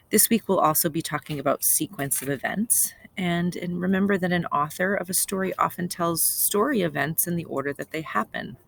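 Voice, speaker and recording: Woman teacher with a creaky voice. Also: low voice. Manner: instructive, simply worded, repeating key points. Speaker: second grade teacher. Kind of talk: children's read-aloud lesson with explanations.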